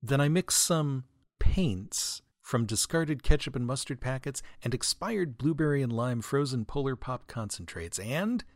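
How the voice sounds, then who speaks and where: A man delivers a wry comic monologue as a podcast host. His pitch is middling but moves around a lot, giving mock-grandiose flourishes to an otherwise deadpan manner. The sound is dry and close on the microphone. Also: measured pace, no echo. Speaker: podcast host, writer and audio editor. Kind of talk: wry comedic monologue, answering listener mail.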